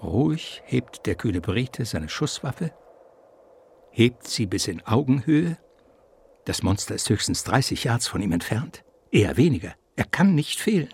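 An actor narrating a mock gothic mystery radio play in a theatrical, savouring, suspenseful literary style. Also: male voice, medium pitch, sing-song, unhurried, darkish tone, slight background noise.

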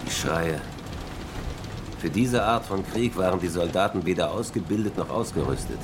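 An actor playing a grim military officer in a horror radio play, matter-of-fact and bitter. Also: male voice, low voice, lively intonation, normal speed, background noise. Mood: grim, weary. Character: grim, blunt male military officer surviving the apocalypse. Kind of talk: horror radio drama dialogue. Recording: music bed under the voice.

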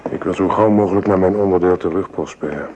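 A man's voice: sits low, fast, dark tone, highs cut off, slight background noise, natural voice. A man playing a loyal French soldier in a radio drama, speaking politely and earnestly. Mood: disillusioned.

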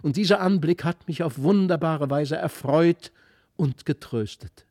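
Man reading as a mellow, wondering mother. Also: a little high, measured pace, dry recording, studio quality. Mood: wondering, relieved. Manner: earnest, wondering. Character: loving widowed mother, quietly amazed and comforted.

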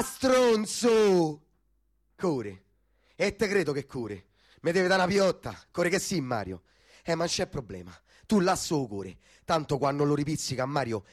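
An actor playing a cocky, foul-mouthed young street tough with a Roman accent, in a radio drama with music behind. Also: male voice, a little high. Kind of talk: stage-style radio drama dialect acting.

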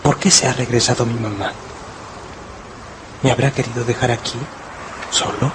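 An actor playing a naive, bewildered young wolf cub with plaintive wonder in a radio drama. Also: male voice, medium pitch, steady tone, moderate pace, background noise, natural voice. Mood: bewildered, forlorn.

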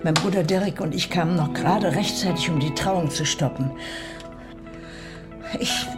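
A bitter, grieving mother in a Western radio drama. She tells her story gravely and with emotion, with music playing clearly behind her. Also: fairly high pitch. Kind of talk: German radio play acting.

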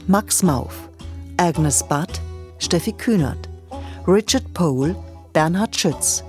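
A woman's voice: low voice, darkish tone. A woman announcer reading a scripted credits announcement for a radio drama.

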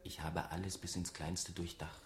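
A man's voice: deep voice, little pitch movement, normal speed, close to an everyday voice. A man acting in a radio drama as a scheming, insistent office auditor who talks persuasively and conspiratorially. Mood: coaxing, pressing. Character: scheming, insistent male office auditor hiding a lottery win. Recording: roomy echo, faint noise.

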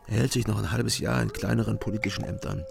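An actor playing a weary, disillusioned East German ex-agent in a radio crime drama, gravelly, brooding and terse. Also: male voice, on the low side, steady tone, over music. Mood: sombre, dry. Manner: brooding, terse, halting under pressure.

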